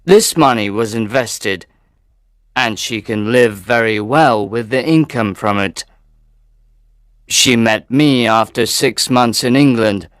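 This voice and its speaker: An actor playing an upset Victorian gentleman who earnestly pleads for help with a marriage problem. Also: medium pitch, slow, no echo, clean studio sound, lightly acted. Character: worried, upset Victorian male gentleman visitor.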